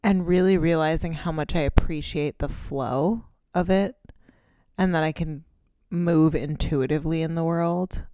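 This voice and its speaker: A woman's voice: deep voice, steady tone, measured pace, dark tone, smooth, no echo. A woman, co-hosting a podcast in casual chat, candid and rambling with casual swearing. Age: 30s. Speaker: podcast co-host. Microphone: close podcast mic.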